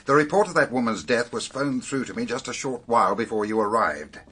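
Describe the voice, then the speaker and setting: A middle-aged actor with an English accent playing a sober, matter-of-fact male police detective in a radio drama, measured and authoritative. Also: mid-range voice, expressive, a bit bright, breathy edge. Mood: grave.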